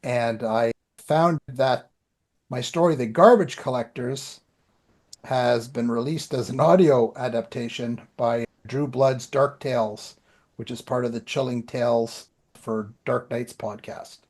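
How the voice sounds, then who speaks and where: Male podcast co-host, Canadian accent, mid-pitched, casual and rambling, self-deprecating. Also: a little slow, darkish tone. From Ottawa area, Canada. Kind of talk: casual chat, personal updates.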